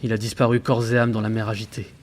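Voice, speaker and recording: An actor playing a troubled, earnest sailor who reads out his logbook in a grave, measured way for an audio drama. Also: lowish voice, little pitch movement, slight background noise, lightly acted. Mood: anxious. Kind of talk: dramatic diary narration in French audio fiction.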